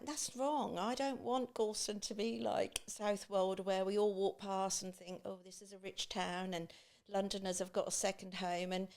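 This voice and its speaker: A woman's voice: medium pitch, vocal fry. A middle-aged woman with an East Anglian English accent, chatting and telling personal stories, acting out remembered remarks as she goes. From Great Yarmouth, England. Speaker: podcast guest, beach hut business owner.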